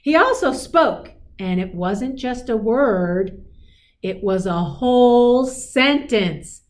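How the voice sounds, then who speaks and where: A woman reading a children's picture book in an expressive, encouraging manner, with chatty asides to young listeners. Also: on the high side, slow pace. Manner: encouraging, explains pictures and asks listeners questions; expressive, emphatic storybook delivery. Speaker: school superintendent reading to children.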